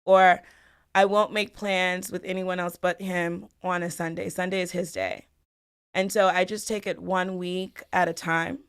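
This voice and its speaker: A woman's voice: fairly low pitch, little pitch movement, measured pace, smooth. A woman from the Bahamas and Florida answering interview questions as a guest in a reflective, conversational way. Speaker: podcast guest, family law attorney.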